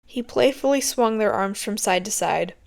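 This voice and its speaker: A woman reads a story aloud in scripted narration. She is an amateur audiobook reader, and her voice sits at an average pitch for a woman. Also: lively intonation, moderate pace, gravelly, over loud music.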